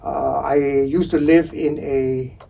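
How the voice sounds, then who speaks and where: A man's voice: medium pitch, steady tone, takes it slowly, creaky, mellow, far from the mic. A middle-aged man from Singapore with a Singaporean English accent, a podcast guest talking candidly and wryly about his past. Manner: candid, wry storyteller. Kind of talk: casual interview chat.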